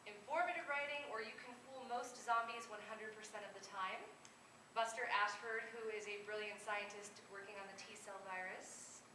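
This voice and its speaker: A woman's voice: medium pitch, moderate pace, pushed and bright. A woman author reading humorous prose aloud at a live event. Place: convention room, live event. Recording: echoey, noisy room from far off.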